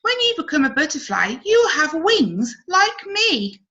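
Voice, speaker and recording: Woman doing a cheery ladybird for a kids book, encouraging, emphatic, high n very swoopy. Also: sing-song, a little slow, pushed and bright, lo-fi sound, heavily acted. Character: cheerful, reassuring flying ladybird, proud of its wings. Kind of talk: children's storybook read-aloud.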